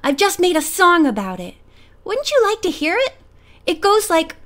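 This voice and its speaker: A woman voicing an eager, excitable young male rabbit in a children's storybook. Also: extremely high pitch, normal speed, pitched above the performer's own voice. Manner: eager, exclaiming.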